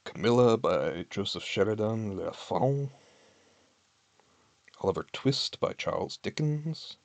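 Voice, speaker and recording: A man's voice: fairly low pitch, expressive, slow pace, vocal fry, no room sound. A man streaming from home, chatting casually with his viewers in a candid, wry way. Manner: candid, wry, reads list items aloud and comments.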